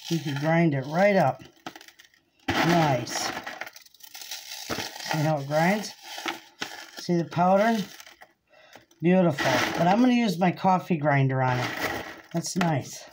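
A middle-aged woman talks slowly and homily to the camera while she works in her home kitchen, laughing easily. She's picked up by a camera across the room. The audio carries a noticeable hiss. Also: fairly low pitch. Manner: chatty, homey, laughs easily. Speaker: homestead cooking vlogger. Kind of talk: casual kitchen chat to camera.

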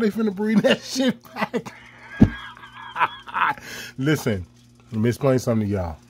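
A man's voice: medium pitch, a little mellow. A man, ranting angrily in a profane commentary. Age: 40s. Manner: profane, heated, laughs mid-rant.

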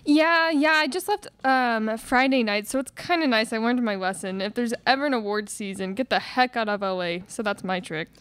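A woman's voice: fairly high pitch, moderate pace, few pauses, fairly bright tone, clear, resonant tone, no echo, natural voice. A young woman acting a bubbly, self-deprecating movie star in a live radio theatre comedy. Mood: chatty, joking. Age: young adult. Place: college classroom stage, indoors, small audience. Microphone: stand microphone, close.

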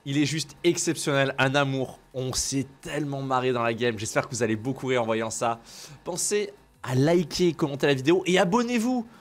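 A young French man with a French accent, chatting excitedly while streaming a game, mixing French and broken English. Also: medium pitch, brisk. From France. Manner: animated, shouty, mixes French and broken English. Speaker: gaming streamer. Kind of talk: excited gameplay chat with a stranger. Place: home gaming room. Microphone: headset mic.

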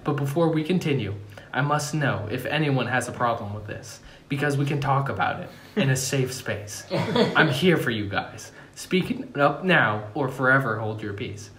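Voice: medium pitch, lively intonation, normal speed, few pauses, fairly bright tone, echoey room, close to an everyday voice. Melodramatic, swooping king played by an amateur. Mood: earnest, uplifting. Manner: theatrical, swooping declamation broken by amusement. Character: melodramatic young king turned cheerful, earnest ruler. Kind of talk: amateur comedy script table read. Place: room at home around a wooden table.

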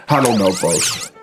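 A middle-aged man giving grumbling, profane, casual commentary as a reaction host in a room at home. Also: lowish voice. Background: cartoon dub with music playing.

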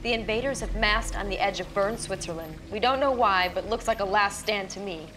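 A woman playing a confident, hawkish military intelligence officer, giving brisk, rousing game briefings. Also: medium pitch, close to an everyday voice, low-quality recording. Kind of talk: dramatic game cutscene briefing. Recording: clear ambient noise behind voice.

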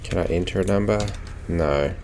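A man's voice: low voice, moderate pace, darkish tone, a little hiss or hum. A man giving casual playthrough commentary on a video game, thinking aloud as he goes. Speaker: gaming video creator.

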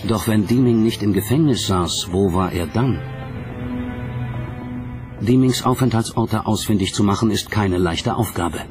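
A man narrating a documentary from a script in a measured, dramatic storytelling way. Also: fairly low pitch. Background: background music.

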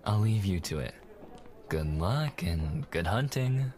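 Casting: male actor as deep-voiced confident god and wingman, casual and teasing, audio drama with noticeable background ambience. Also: lively intonation, slow pace, a little mellow, slight background noise. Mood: teasing, conspiratorial. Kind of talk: audio roleplay acting.

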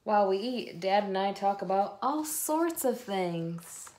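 A middle-aged woman reading a children's picture book aloud in a deliberate storytelling way, recorded on a phone at home. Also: mid-range voice, lively intonation, darkish tone, a little hiss or hum. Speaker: read-aloud host. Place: indoors in a room at home.

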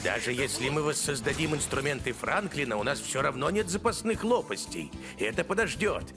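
An actor playing an earnest, confident robot engineer in a cartoon dub, explaining with emphasis. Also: male voice, mid-range voice, lively intonation, a little fast, lightly acted. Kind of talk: animated cartoon dub acting. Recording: music behind the voice.

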